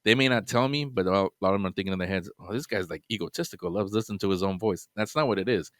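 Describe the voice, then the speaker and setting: A man's voice: low voice, rapid-fire, dry recording, clean studio sound. A man guesting on a podcast, giving earnest advice in casual chat, often restarting his sentences.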